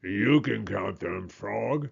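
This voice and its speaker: A man reading a storybook aloud who voices a grumpy, sleepy male toad, grumbling and slow. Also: lowish voice, lively intonation, vocal fry, breathy edge, highs cut off, full character voice.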